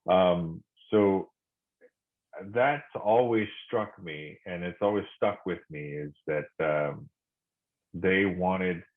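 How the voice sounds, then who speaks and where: A middle-aged man from Korea reflecting in conversation, speaking thoughtfully with many pauses and restarts. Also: sits low. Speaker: podcast guest. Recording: phone-like narrow sound.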